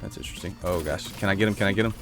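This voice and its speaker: A man giving casual gameplay commentary while playing a shooter, with a congested throat he keeps clearing, chatting to viewers and reacting aloud. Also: low voice, very fast, faint music behind. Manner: chatty, reacts aloud to the game, answers chat. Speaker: gaming YouTuber.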